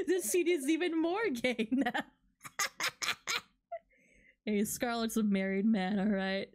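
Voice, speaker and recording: Giggly high-pitched woman streaming a game. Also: slow, pauses often, a little mellow. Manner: giggly, laughs through her words. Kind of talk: reading game dialogue aloud with casual commentary. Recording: music behind the voice. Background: video game music.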